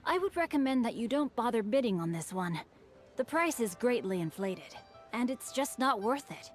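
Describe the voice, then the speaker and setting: A woman's voice: a little high, sing-song, normal speed. A woman voicing a courteous, bookish young man in a video game, speaking formally and eloquently over music. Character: refined, bookish young male guild heir, courteous. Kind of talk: video game character acting. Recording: music behind the voice.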